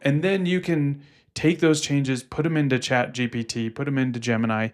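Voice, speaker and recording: A man's voice: mid-range voice, fairly even intonation, moderate pace. A man co-hosting a podcast, explaining things casually and offering practical advice. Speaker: podcast co-host and Google Ads specialist. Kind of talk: casual advisory podcast talk.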